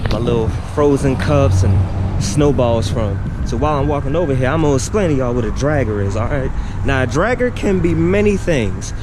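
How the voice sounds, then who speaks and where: A man with a Baltimore accent, talking casually and full of slang as he walks the city for a vlog. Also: mid-range voice. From East Baltimore. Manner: laid-back slangy chatter. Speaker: vlogger. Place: city street outdoors. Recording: loud street noise.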